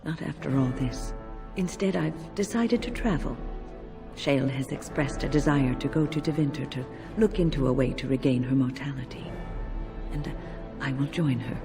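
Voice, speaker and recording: An actress playing a wise, gentle elderly mage in a video game, speaking in a measured, reflective, kindly way with wry touches. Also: female voice, deep voice. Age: older. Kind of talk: video game character dialogue. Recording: music behind. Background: music.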